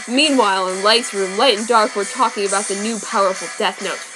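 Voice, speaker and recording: A woman reading a fan story aloud, deadpan and mock-serious. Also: medium pitch, a little fast, low-quality recording. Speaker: reader. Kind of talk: dramatic fanfic reading. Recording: background music. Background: soundtrack music.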